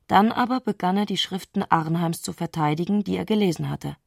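Woman narrator for a literary radio play, kinda low voice, really dry studio sound. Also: a little fast, vocal fry, no room sound.

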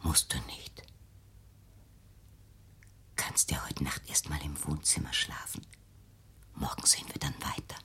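An actress in a radio play playing an anxious, controlling mother, hushed and insinuating, with a husky, breathy voice. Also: extremely low pitch, level tone, unhurried, long pauses, whispered, exaggerated voice. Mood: hushed, soothing.

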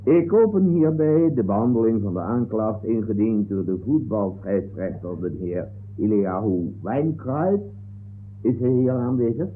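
A stern, officious judge in a vintage radio comedy. His formal delivery swings widely in pitch with impatience. The recording is thin and narrow with faint noise. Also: animated, a little slow. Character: stern, formal, exasperated male courtroom judge. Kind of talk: 1960s comic radio play acting. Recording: noisy narrow-band old radio recording.

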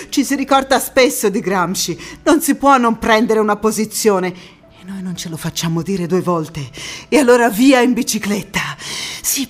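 An actress playing a passionate wartime partisan woman in a theatrical monologue, phrasing every line with deliberate emphasis. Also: fairly low pitch. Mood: fervent, urgent. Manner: emphatic, deliberate phrasing swinging between hushed intimacy and fervour. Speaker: stage actress. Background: music.

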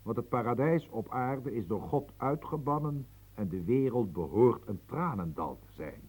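An actor playing a sober, dutiful secretary in a radio play, speaking in a measured, earnest, lecturing way. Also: male voice, medium pitch, expressive, darkish tone, slightly rough. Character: sober male secretary in a dark suit, calm and dutiful. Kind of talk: radio drama acting.

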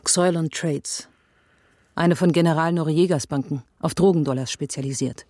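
A woman's voice: sits low, moderate pace, dark tone, dry recording. Woman investigator in radio drama: serious, controlled, matter-of-fact storytelling, close dry studio. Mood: grave, controlled. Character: serious, determined woman investigator recounting her father's fate.

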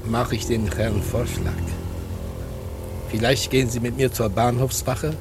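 A man's voice: mid-range voice, fairly even intonation, a little slow, noisy background, lightly acted. An actor as an over-polite, sly male pickpocket. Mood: courteous. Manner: courteous, sly, chuckling, clipped formal phrasing. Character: smooth, over-polite pickpocket gentleman with shady charm. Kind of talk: radio play dialogue.

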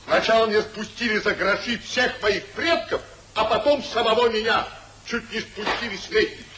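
An actor playing a rich, sentimental old uncle in theatrical 1950s radio comedy, declamatory and full of exclamation. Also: male voice, high voice, normal speed, natural voice. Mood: comic indignation. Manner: declamatory, exclaiming, savours words with comic indignation. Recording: roomy, faintly noisy 1950s radio recording.

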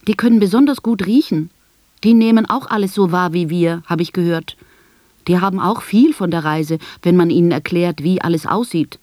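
A woman's voice: lowish voice, normal speed, darkish tone, dry recording, close to an everyday voice. Radio play role: patronising, nosy female tourist put on by the narrating actress, gushing chatter, dry studio acoustic. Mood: eager, patronising. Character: chattering, condescending, nosy female ship passenger.

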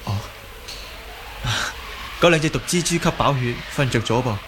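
An actor playing a concerned, good-natured young martial arts hero in a Cantonese radio drama, earnest and hesitant. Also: male voice, medium pitch, normal speed, lightly acted. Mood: amazed, concerned. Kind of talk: Cantonese audio drama acting. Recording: clearly noisy background.

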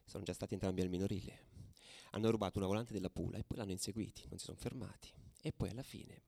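An actor playing a hardened, streetwise football ultra, delivering an intense, colloquial radio drama monologue in a gravelly voice. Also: male voice, sits low, steady tone, very fast, dark tone. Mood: grim, confiding. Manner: colloquial, brooding, swinging between murmur and agitated outburst. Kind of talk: theatrical radio monologue, narrating.

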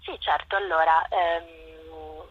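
A woman's voice: sits low, fairly even intonation, takes it slowly, breathy edge. A woman being interviewed as a theatre director, explaining casually and often checking with little tag questions, over a phone call. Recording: dull, roomy radio phone-in sound.

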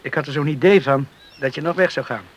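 An actor playing a friendly island beachcomber in a radio play, earnest and sometimes hesitant. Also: male voice, on the high side, fast talker, slight background noise, natural voice. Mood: hesitant, coaxing. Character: friendly male island beachcomber, earnest storyteller, shy suitor.

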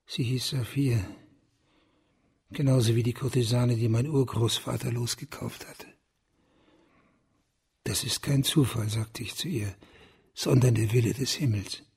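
Gravelly, husky condemned lover. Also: male voice, medium pitch, steady tone, unhurried, pauses often, darkish tone, full character voice. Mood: grieving, subdued. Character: proud, lovelorn condemned man, big and bitter. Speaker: actor in a radio crime drama. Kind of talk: German radio play acting.

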